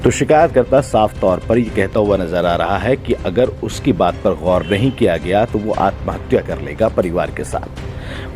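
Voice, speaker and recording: A man reading a scripted news report as presenter. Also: lowish voice. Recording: loud music bed behind voice. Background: background music.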